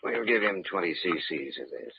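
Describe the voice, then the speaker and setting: An actor playing an obsessed, coolly confident doctor of science in old-time radio drama, formal and authoritative. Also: male voice, low voice, big pitch swings, fairly quick, creaky, exaggerated voice. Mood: brisk, assured. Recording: muffled, noisy old-time radio recording.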